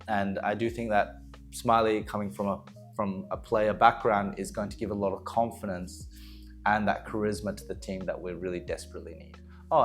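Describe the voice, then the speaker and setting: Low-voiced man, music behind. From Australia. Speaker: esports coach. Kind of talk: prepared team announcement to fans.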